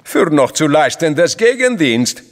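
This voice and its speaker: An actor playing an eager, blunt Russian go-between in an audio drama, with a Russian accent and broken, emphatic grammar. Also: male voice, on the high side, sing-song, a little slow. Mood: effusive. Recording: long hall reverb, music underneath. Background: music.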